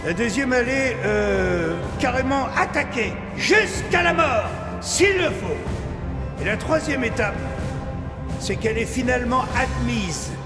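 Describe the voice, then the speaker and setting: Emphatic high-voiced man in echoey hall. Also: big pitch swings, creaky. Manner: emphatic, dramatic pauses. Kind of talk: opinionated commentary. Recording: echoey hall with music bed.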